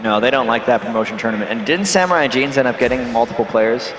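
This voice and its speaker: A man from North America giving measured, analytical esports color commentary on a headset at a packed convention hall. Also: medium pitch, fairly bright tone, slight background noise. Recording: echoey arena with music behind.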